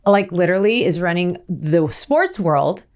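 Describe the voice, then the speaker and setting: A woman's voice: fairly low pitch, lively intonation, a little slow. A woman hosting an interview podcast, talking in a bubbly, upbeat, gushing way. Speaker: podcast host.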